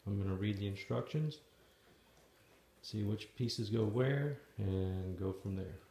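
A man casually narrating, step by step, the assembly of a trailer he is building, talking in a plain, matter-of-fact way with some halting pauses, filmed on a handheld phone in a garage. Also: lowish voice, warm tone. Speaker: DIY video maker. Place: garage or shop and outdoors by a trailer. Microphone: handheld phone camera.

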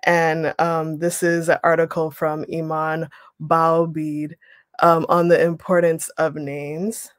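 A young woman leading an online writing workshop, reading a passage aloud and then explaining it with relaxed pauses over a video call. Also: low voice, no echo, studio quality. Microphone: webcam on a video call.

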